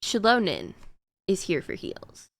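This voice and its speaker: A woman's voice: medium pitch, huge pitch swings, measured pace, dry recording. A woman streaming a game, chatting casually to her viewers in a rambling way with frequent fillers. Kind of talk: casual chat while gaming.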